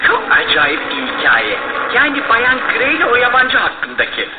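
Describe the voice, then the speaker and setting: An actor playing a detective's earnest, puzzled sidekick with a questioning manner in a radio drama. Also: male voice, very high voice, wildly swooping pitch, normal speed, loud music behind the voice, full character voice. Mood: curious, puzzled. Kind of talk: Turkish radio theatre acting. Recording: tinny old radio broadcast with clear noise.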